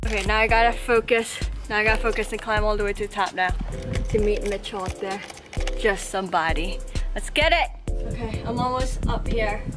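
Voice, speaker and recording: A young woman vlogging outdoors while exploring and climbing, talking excitedly in slangy casual chat to a handheld camera at arm's length. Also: mid-range voice, fairly quick, over music. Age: young adult. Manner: excitable, slangy, exclaiming often. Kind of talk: casual vlog narration. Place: outdoors on a hilltop abandoned tower.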